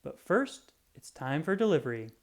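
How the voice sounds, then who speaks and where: Reader doing hearty farmer dad in a kids' storybook, upbeat, lively voice. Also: medium pitch, moderate pace. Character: cheerful farmer dad, adult man.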